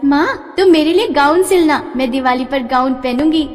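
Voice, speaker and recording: A woman voicing cheerful little sisters in a cartoon story, excited and chirpy. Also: sits high, moderate pace, pitched above the performer's own voice, lightly acted. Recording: reverberant with soft background music.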